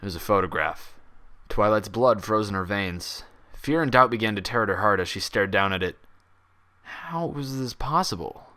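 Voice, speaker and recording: A man reading fan fiction as scripted story narration, with expressive, dramatic delivery. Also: lowish voice, normal speed.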